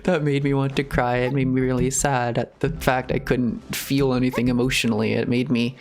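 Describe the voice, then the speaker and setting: A man's voice: mid-range voice, level tone, brisk. Hesitant man chatting over music. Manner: hesitant and rambling, often chuckling at himself. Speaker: streamer reacting to a video game. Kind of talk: casual, confessional chat while playing.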